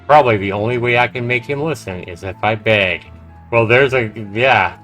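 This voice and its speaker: A man's voice: mid-range voice, moderate pace, a bit bright. A man livestreaming a game, reading its narration aloud dramatically with casual, profane asides. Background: faint game music.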